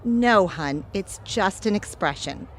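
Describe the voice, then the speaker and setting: A woman's voice: mid-range voice, expressive, slow pace, music in the background. A woman playing a friendly, wisecracking confidante in an audio comedy, with faint noise behind. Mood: scheming. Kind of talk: audio comedy drama acting.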